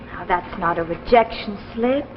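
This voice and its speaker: An actress playing a devoted, encouraging young wife in a 1950s anthology drama, speaking in an earnest, coaxing way. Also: female voice, mid-range voice, normal speed, background noise, close to an everyday voice. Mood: insistent. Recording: muffled, echoey 1950s broadcast recording.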